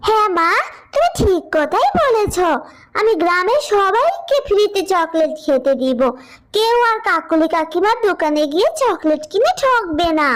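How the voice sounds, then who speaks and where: A woman's voice: very high-pitched, big pitch swings, moderate pace. A woman voicing a sweet little girl animal in a children's fable cartoon, childlike and wheedling. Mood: excited.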